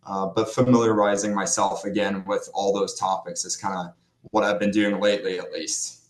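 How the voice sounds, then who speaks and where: A man's voice: low-pitched, moderate pace. Norwegian man in an echoey room. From Norway. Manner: relaxed, with frequent fillers and restarts. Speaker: financial planner guest. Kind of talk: casual interview chat.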